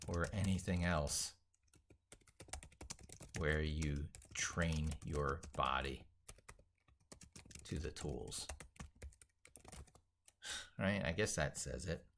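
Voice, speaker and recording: A man thinking aloud as he drafts an opinionated tech piece, halting, repeating and reworking his phrases with emphatic points. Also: very deep voice, vocal fry, a bit bright, a little hiss or hum. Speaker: tech educator and video creator.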